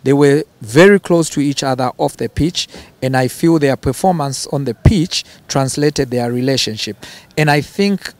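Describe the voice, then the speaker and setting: A man's voice: mid-range voice, moderate pace, darkish tone. A man answering interview questions, often repeating words as he gathers his thoughts. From Zambia. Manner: hesitant, repeats words, chuckles between phrases.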